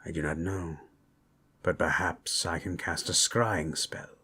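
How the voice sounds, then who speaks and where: A man playing a calm, formal undead spellcaster in a fantasy audio drama, with a husky voice and measured, solemn manner. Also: sits low, exaggerated voice.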